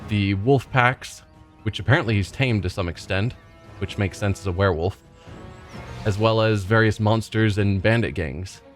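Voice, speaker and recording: Let's play host, man, low voice, thinks aloud with hesitant, casual commentary; faint game music. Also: moderate pace.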